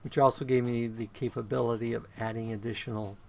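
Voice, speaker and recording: A man giving casual gameplay commentary on his own play, a bit croaky today, counting items aloud and humming to himself. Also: medium pitch, little pitch movement, quick pace, darkish tone. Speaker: game streamer.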